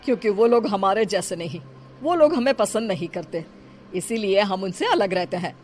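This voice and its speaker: A woman's voice: medium pitch, animated, normal speed, a little mellow, a little hiss or hum, natural voice. A woman voicing a stern, protective adult kinnar caretaker who gives firm warnings.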